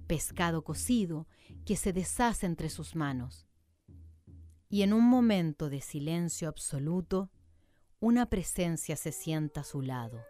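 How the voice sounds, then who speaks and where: A woman narrating a scripted radio drama in a measured storytelling manner. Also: lowish voice, dark tone.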